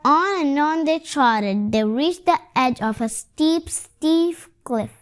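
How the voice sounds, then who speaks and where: High-pitched child reading a story slowly. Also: animated. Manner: halting, adds own comments on pictures. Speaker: story reader. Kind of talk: read-aloud storytelling with explanatory asides.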